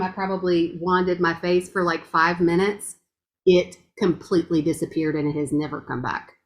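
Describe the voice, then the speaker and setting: A woman with a strong voice giving a chatty, gushing testimonial, laughing often as she talks. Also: fairly low pitch. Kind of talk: casual testimonial chat.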